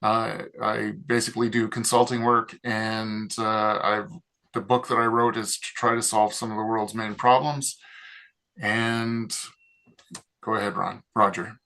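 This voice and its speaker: Guy hosting a panel chat, kinda low gravelly voice, lots of uhs. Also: little pitch movement, measured pace, sharp tone. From Canada. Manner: casual, loose, with frequent fillers. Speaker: host, mechanical engineer. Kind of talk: panel discussion.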